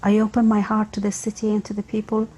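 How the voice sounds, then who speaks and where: A woman reciting a slow, measured spoken-word poem. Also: mid-range voice, completely flat delivery, darkish tone, slightly rough, poor audio. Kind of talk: slow, even spoken-word poetry over music.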